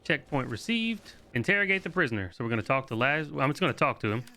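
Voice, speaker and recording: Bright-voiced man doing casual game commentary. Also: mid-range voice, very animated, fast talker. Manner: informal, matter-of-fact. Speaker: video game guide host. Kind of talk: casual walkthrough commentary, reading quest dialogue aloud.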